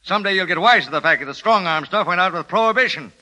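A man's voice: high-pitched, lively intonation, fast, bright, forceful tone, full character voice. A man playing a bossy, on-edge racketeer boss in clipped, commanding 1930s radio style, on a vintage recording. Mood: angry, scolding. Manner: clipped, commanding tough talk.

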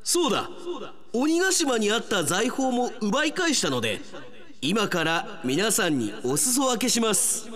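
A man's voice: high-pitched, big pitch swings, a little slow, a crowd in the background, voice pushed up. A man playing an easygoing, self-satisfied male amusement park owner in a theatrical radio drama style. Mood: reassuring, triumphant.

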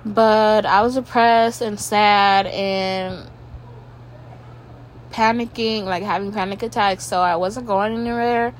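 A woman's voice: mid-range voice, steady tone, takes it slowly, a little hiss or hum, low-quality recording. A young woman with a stuffy nose, rambling casually at home as a podcast host, tripping over her words and swearing now and then.

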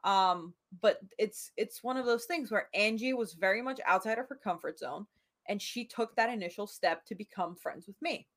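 A woman in her twenties hosting a podcast, chatting casually and telling stories with lively quoted dialogue. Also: medium pitch, normal speed. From United States. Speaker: podcast host. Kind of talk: casual chat.

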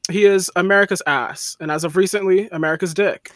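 A man's voice: sits high, steady tone, brisk, no echo, studio quality. A man co-hosting a casual podcast, chatting in a rambling way with lots of "um" and "like". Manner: chatty, rambling with many fillers. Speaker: podcast co-host.

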